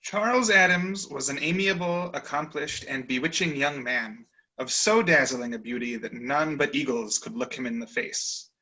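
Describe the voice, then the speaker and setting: A man reading literary narration aloud over a video call, measured and mock-grand. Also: mid-range voice, pushed and bright, poor audio. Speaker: reader of the story's narration. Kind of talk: dramatic reading.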